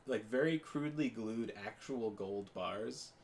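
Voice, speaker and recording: Rambling young man with a lowish voice. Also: unhurried, darkish tone, a little hiss or hum. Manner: rambling with many fillers and restarts. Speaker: podcast co-host. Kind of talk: casual chat while gaming.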